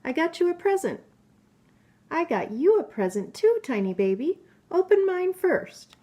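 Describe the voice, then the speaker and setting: A woman's voice: very high-pitched, sing-song, measured pace, mellow, pitched above the performer's own voice, full character voice. A woman voicing a small pushy dog who thinks it is a horse, bossy and over-eager.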